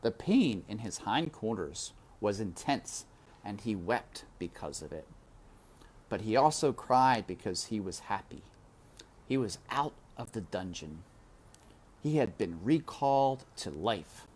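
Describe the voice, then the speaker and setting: A man narrates a storybook in a fairly low voice. His delivery is very unhurried and expressive, rising and falling widely as he speaks straight to the listener with deliberate drama. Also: slightly rough. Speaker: read-aloud narrator. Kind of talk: expressive storybook read-aloud.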